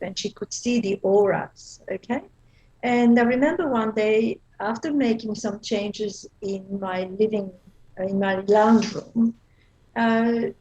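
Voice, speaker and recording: A woman in her fifties from Belgium with a Belgian accent, a guest in a casual interview who talks in a lively way, laughs often and restarts her sentences. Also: on the high side, poor audio.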